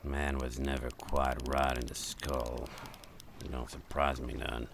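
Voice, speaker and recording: A gruff, gravel-voiced old father. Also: a bass voice, flat delivery, unhurried, a little hiss or hum, exaggerated voice. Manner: curt and grumbling. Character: gruff irritable older father fishing. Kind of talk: radio drama acting.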